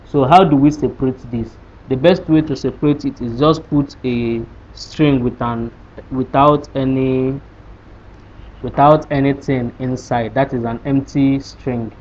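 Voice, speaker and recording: A man's voice: mid-range voice, steady tone, measured pace, a little mellow. Man teaching code over dull audio. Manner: explanatory, walks through code step by step, asks rhetorical questions. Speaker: programming tutor. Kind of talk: screen-recorded coding lesson. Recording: dull lo-fi sound with faint hiss.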